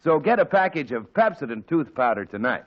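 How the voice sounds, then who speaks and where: A male radio announcer reading a scripted ad in a smooth, persuasive way. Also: medium pitch, sing-song, brisk, noisy background. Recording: dull old radio broadcast.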